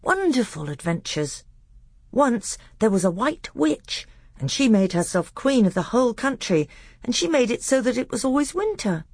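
Woman putting on a high-pitched little boy prince. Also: very animated, normal speed, darkish tone, breathy edge, no room sound, clean studio sound, full character voice. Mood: eager, excited. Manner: artless, breathless childlike babbling. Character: very little boy prince, innocent, eager, dreamy. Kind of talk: acted storybook dialogue.

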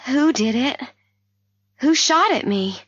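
A woman's voice: high voice, lively intonation, slow pace, breathy edge, dry recording, highs cut off, pitched above the performer's own voice, full character voice. A woman voicing a headstrong young female amateur sleuth who questions insistently in an audiobook mystery. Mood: shocked, questioning.

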